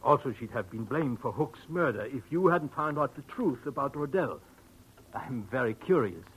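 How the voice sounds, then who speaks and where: A man's voice: medium pitch, animated, normal speed, husky. A man playing a thoughtful, philosophical sanitarium doctor with formal, polite manners in 1940s radio acting. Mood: curious, earnest. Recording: noisy old radio drama recording.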